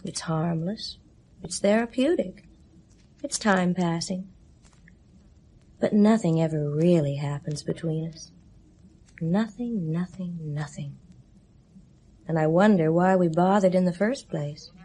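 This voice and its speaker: A woman's voice: fairly low pitch, slow, pauses often, warm tone, husky. An actress playing a restless, bored young woman in a 1970s radio drama, speaking in a languid, sighing, bitterly weary way.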